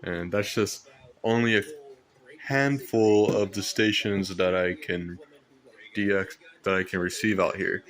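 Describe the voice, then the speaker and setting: Male hobbyist, American, fairly low-pitched, flat monotone delivery, casual and unhurried show-and-tell. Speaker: radio hobbyist presenter.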